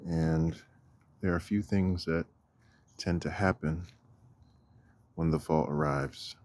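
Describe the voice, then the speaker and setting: A man leading a guided walking meditation in a deliberate, measured manner, with long pauses and a husky voice. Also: extremely low pitch, steady tone, darkish tone. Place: outdoors on a walk.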